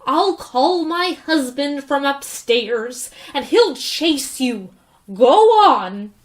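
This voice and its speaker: A woman's voice: high voice, expressive, drawn-out words, exaggerated voice. A woman playing a suspicious, testy small-town housewife with an American accent in a radio drama. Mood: irritated, dismissive.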